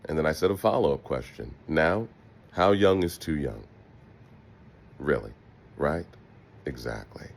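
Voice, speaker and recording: A man's voice: very deep voice, lively intonation, unhurried, creaky, long pauses. An American man delivering an opinion monologue as a host, in a sardonic, emphatic, profane manner with rhetorical asides. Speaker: political podcast host.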